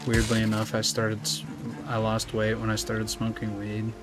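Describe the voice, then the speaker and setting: A young American man, just out of high school, chatting casually and rambling with viewers while he plays. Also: completely flat delivery, normal speed. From United States. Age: young adult. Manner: laid-back, rambling, slangy. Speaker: streamer. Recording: music playing behind.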